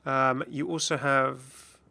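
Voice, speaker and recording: A man with a bright, forward voice and a gravelly creak talks casually to his gaming channel's viewers. He is chatty and rambles along unscripted, at a middling pitch. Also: fairly even intonation, slow pace. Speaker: gaming YouTuber. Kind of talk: casual unscripted video commentary and channel update.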